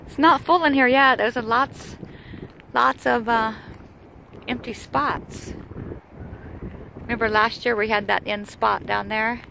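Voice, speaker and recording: Chatty woman vlogger, gravelly low edge, filmed outside with loud wind on the mic. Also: rough recording. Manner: chatty, laughs easily. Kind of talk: casual travel vlog narration. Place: outdoors at a windy campground and beach.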